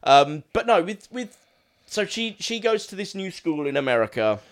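A man's voice: high-pitched, sing-song, normal speed. A man with an Essex accent, rambling and laughing easily as he chats about a film on a podcast. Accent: Essex English. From Essex, England. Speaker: podcast co-host. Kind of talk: casual film chat.

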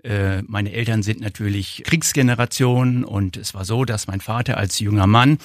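A man in his fifties with a North German accent, answering interview questions in a measured, explanatory way. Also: fairly low pitch, steady tone, dry recording, studio quality. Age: 50s. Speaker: interview guest, foundation founder.

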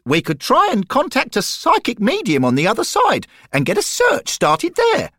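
A man voicing an eager, pedantic ghost schoolboy with a high treble voice who never stops reciting facts. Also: wildly swooping pitch, moderate pace, sharp tone, no echo, studio quality, exaggerated voice. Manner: fact-reciting, polite, keeps saying sir. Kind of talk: audiobook character voice.

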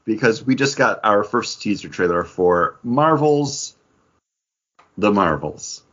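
Male podcast co-host, casual and animated, husky, on a slightly dull recording. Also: on the low side, slow. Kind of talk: casual chat about entertainment news.

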